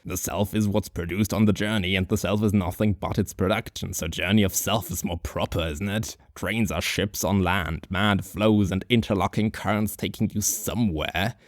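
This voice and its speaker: A man voicing a rambling, drunk old hobo sage who muses philosophically and slowly, with dry chuckles. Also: deep voice, fairly even intonation, dry recording, close to an everyday voice. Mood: musing. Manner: slow, musing philosophical monologue with chuckles.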